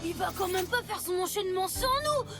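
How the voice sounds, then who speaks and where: A woman's voice: very high voice, fairly quick. A woman voicing a small, young, plucky and playful motorbike in a children's cartoon, given to exclamations. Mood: excited. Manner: exclamatory, excitable. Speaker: cartoon character voice actor. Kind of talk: animated children's cartoon dubbing. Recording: loud music behind the voice.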